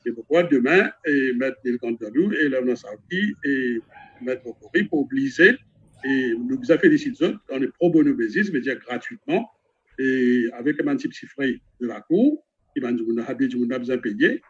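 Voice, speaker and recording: A man's voice: mid-range voice, expressive, normal speed, breathy edge, lo-fi sound. A man with a Mauritian accent making an impassioned point on an online panel, stumbling and repeating himself. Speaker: panel speaker. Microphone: video call.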